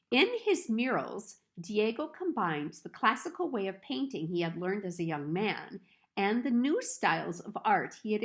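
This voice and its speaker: A woman reads a picture book aloud for children. She takes her time, placing each phrase deliberately. Her mid-pitched voice moves through lively, expressive rises and falls as she tells the story.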